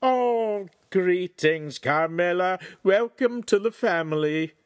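An eager salesman from a storybook. The voice is very high and nasal, swooping with fawning enthusiasm, recorded dry. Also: animated, unhurried, no room sound.

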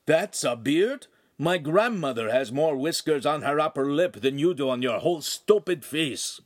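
A man voicing a crude, loud young lout who shouts insults in a rasping voice. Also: on the high side, animated, moderate pace, no echo, clean studio sound, pitched above the performer's own voice. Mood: jeering. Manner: brash, jeering, shouting.

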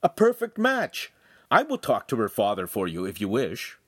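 A man voicing a calm, good-humoured young man in a story, earnest and conciliatory. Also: deep voice, brisk, breathy edge, no echo, clean studio sound, exaggerated voice. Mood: teasing.

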